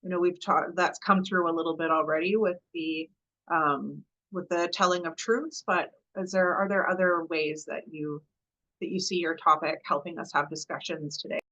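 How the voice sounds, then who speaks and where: A woman moderating a virtual panel, posing questions in a measured way with small restarts. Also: lowish voice, steady tone, fairly bright tone, low-quality recording. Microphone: video call.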